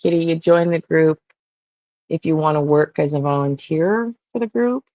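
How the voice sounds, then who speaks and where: A true crime channel host reacting to and commenting on an interview, slow and emphatic. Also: medium pitch, animated, dry recording, lo-fi sound.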